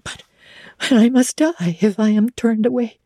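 A woman's voice: on the high side, normal speed, dark tone, dry recording, studio quality, voice pushed up. A woman voicing a desperate, exhausted young woman wanderer, pleading and faltering as she speaks.

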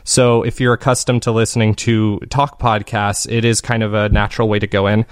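A man's voice: lowish voice, flat delivery, fairly quick, clear, resonant tone, no echo, studio quality. A man speaking as an interview guest, chatting casually and enthusiastically in rambling explanations. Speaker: guest, fiction podcast maker.

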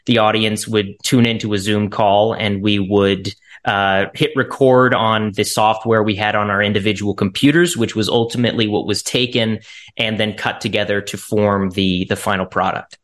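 A man's voice: lowish voice, fairly even intonation, normal speed, fairly bright tone. A man, an actor being interviewed, explaining things in a casual, straightforward way.

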